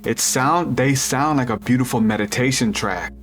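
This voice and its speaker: A man with a deep voice hosting a podcast, rambling through a spiritual theory in casual chat with lots of restarts. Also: moderate pace, fairly bright tone, faint music behind. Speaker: podcast host.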